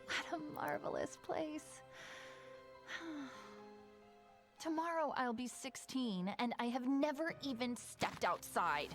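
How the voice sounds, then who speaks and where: A woman playing a sheltered, yearning teenage girl from a tower in an animated fairy tale, soft and polite. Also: sits high, slow pace, faint music behind, exaggerated voice. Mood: wistful.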